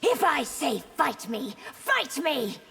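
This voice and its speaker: A commanding young empress in a fighting game. Her high voice has a creaky edge and rings in a long reverb, every line formal and imperious. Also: sing-song, slow, fairly bright tone, exaggerated voice. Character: commanding, regal young empress, stern and decisive. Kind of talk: video game fight banter, dramatic voice acting. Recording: long hall reverb over music.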